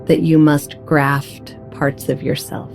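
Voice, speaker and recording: A woman with a low, mellow voice reads poetic spiritual meditation very slowly. Her phrasing is solemn and deliberate, in the manner of an audiobook narrator. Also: little pitch movement, vocal fry, faint music behind.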